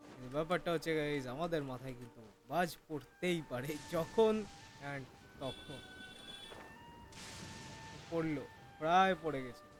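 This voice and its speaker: A man with a Bengali accent hosts a gameplay video. He talks very fast in a casual, informal way, switching languages as he addresses viewers. Faint music runs behind his slightly high voice. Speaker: gaming video host.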